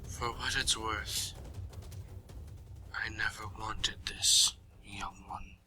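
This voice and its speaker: An actor playing a brooding, weary ancient vampire in an audio drama, rasping, grave and cryptic. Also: male voice, fairly low pitch, fairly even intonation, extremely slow, over music. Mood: grim, weary.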